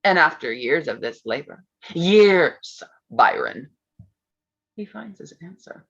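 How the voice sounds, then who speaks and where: A woman's voice: low-pitched, big pitch swings, takes it slowly, gravelly, husky, lo-fi sound, exaggerated voice. A woman playing Mary Shelley as an intense, teasing storyteller in a staged reading, pointed and suspenseful. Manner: suspenseful, pointed, shifting into hushed tones. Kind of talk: dramatic staged reading of a gothic tale.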